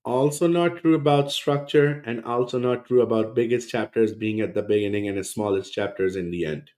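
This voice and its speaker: A man's voice: mid-range voice, a little fast, a little mellow. A man hosting a reaction video, rebutting a podcast clip in a scornful, sarcastic tone. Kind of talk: reaction commentary.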